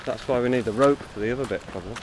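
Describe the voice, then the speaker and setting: A middle-aged man chats casually as he films a hike. His manner is blunt and sweary, with an average-pitched voice. The action camera picks him up at a distance under very heavy noise. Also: racing. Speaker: vlogger filming a hike. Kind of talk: casual chat on the move. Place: outdoors on a rocky cliff and forest trail. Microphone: handheld action camera.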